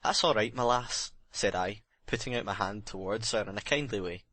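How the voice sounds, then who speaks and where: A man's voice: lowish voice, moderate pace, a bit bright, no room sound. A man playing a bitter, remorseful sailor making a brooding, emphatic confession in a dramatic audiobook reading.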